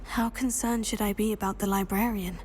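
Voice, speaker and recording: A woman's voice: medium pitch, steady tone, brisk, darkish tone, a little hiss or hum, natural voice. An actress playing a plucky, polite teenage schoolgirl witch in a video game, earnest and composed. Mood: curious, determined. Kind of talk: video game cutscene acting.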